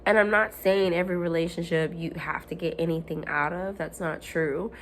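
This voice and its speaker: A woman at home, hoarse while her voice comes back, giving an earnest, rambling motivational talk to camera with frequent ums and restarts. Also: lowish voice, steady tone, normal speed, a little hiss or hum. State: hoarse, recovering from lost voice. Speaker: self-help vlogger.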